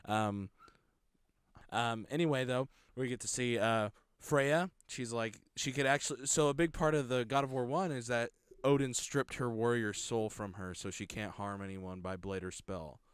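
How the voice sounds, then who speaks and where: Podcast co-host, man, casual and rambling, clear voice with a dry, close feel. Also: moderate pace, dry recording. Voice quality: low voice. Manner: rambling, laughs often, many restarts. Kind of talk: casual chat.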